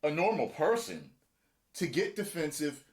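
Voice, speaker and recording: Animated man with lively pitch. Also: a little slow. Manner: animated, acts out quotes with shifting pitch. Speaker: show host. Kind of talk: casual reaction chat.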